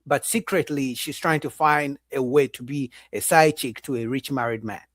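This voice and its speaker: A man's voice: mid-range voice, moderate pace, dry recording, studio quality. A man hosting a reaction video, commenting animatedly and speaking straight to his chat. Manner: animated, addresses chat directly.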